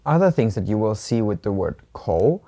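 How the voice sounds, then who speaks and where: Woman w slight german accent, warm mellow voice, laid-back, translating examples w lots of um and like. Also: very deep voice, moderate pace. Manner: relaxed, translates examples into English with hedging fillers. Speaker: co-host learner. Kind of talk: language lesson chat.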